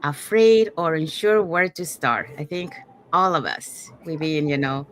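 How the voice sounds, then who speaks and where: Female online host, slightly low voice, casual presenting style. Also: animated, measured pace, heavy background noise. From Panama. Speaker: host, digital business owner. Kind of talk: casual live webinar presenting.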